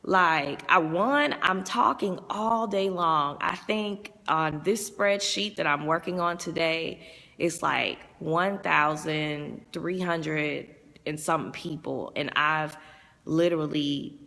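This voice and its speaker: Gravelly low-voiced woman vlogger, strained voice. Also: slow pace, bright, forceful tone, long reverb tail. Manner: chatty, rambling, reads viewer comments aloud. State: strained voice from overuse. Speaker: vlogger and music promoter. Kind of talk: casual live-stream chat.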